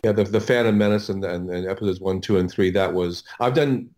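Middle-aged male, low voice, producer being interviewed; rambling, stop-start delivery with repeated words. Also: brisk, darkish tone. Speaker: audio producer, interview guest. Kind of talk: casual interview storytelling.

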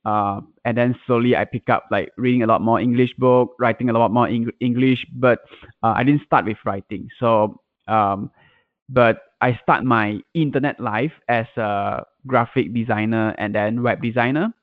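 A man who grew up speaking Mandarin talks casually as an interview guest. His voice sits at an ordinary pitch and comes through dry and thin, like a narrow internet call. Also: steady tone, normal speed, dry recording. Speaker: podcast guest, content manager and blogger. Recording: tinny, band-limited internet call audio.

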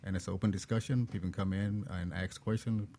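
A man's voice: deep voice, steady tone, fast talker, warm tone. A man speaking as a talk show guest in a casual, conversational style.

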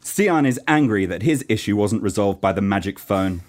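A man's voice: on the low side, expressive, normal speed. A man reading scripted stage directions as the narrator of a radio play. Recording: clear background noise.

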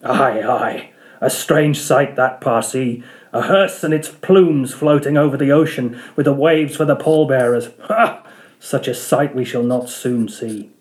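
A man's voice: mid-range voice, measured pace, natural voice. A man reading a storybook part as a proud, scornful old whaling captain with archaic, declamatory speech. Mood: scornful wonder.

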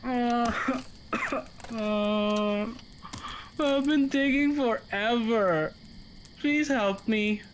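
An actor playing a bumbling, naive young stagehand who panics and whines, in a student radio comedy. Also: male voice, extremely high pitch, extremely slow, noisy background, full character voice. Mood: whining. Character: bumbling lovesick young man, stagehand turned accomplice.

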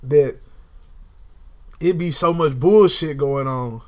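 A man's voice: a little high, takes it slowly. A young man in casual conversation, talking emphatically and repeating phrases to drive a point home. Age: young adult.

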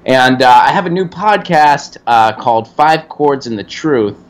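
A man appearing as a guest comedian on a podcast, talking in an animated, enthusiastic way with casual swearing. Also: mid-range voice, measured pace. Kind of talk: casual interview chat.

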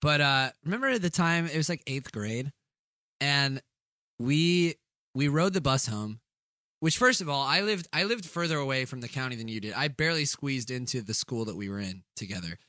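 A man co-hosting a podcast in casual banter, joking fast and slipping into mock voices for his bits. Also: mid-range voice, animated, sharp tone, dry recording. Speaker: podcast co-host.

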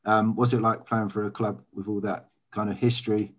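A man's voice: fairly low pitch, flat delivery, a little fast. A man hosting a casual panel chat, asking friendly questions with stumbling starts. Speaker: host.

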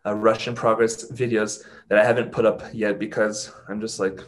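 A man's voice: fairly low pitch, little pitch movement, normal speed. A man chatting casually as a guest, talking at length with many "you know" fillers. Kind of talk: casual chat.

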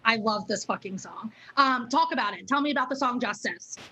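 A woman's voice: a little high, a little fast, bright, forceful tone, poor audio. A woman hosting a casual interview, effusive and chatty, swearing freely and filling with "like" and "um". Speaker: interview host.

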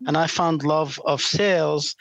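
A man whose first language is Arabic, answering interview questions conversationally as a franchise sales executive and often pausing to find his words. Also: a little high, steady tone, vocal fry, a bit bright, dry recording, poor audio.

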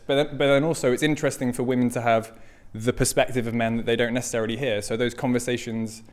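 A man's voice: medium pitch, smooth. A man hosting a podcast, introducing it informally in a conversational way. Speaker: podcast host and interviewer. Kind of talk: informal spoken introduction and questioning.

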